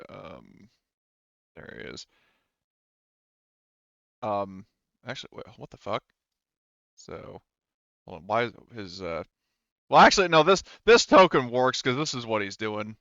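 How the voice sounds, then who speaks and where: A man's voice: lowish voice, lively intonation, slow, vocal fry, pauses often, fairly bright tone, dry recording, lo-fi sound. A man running a tabletop game as game master, talking casually and informally.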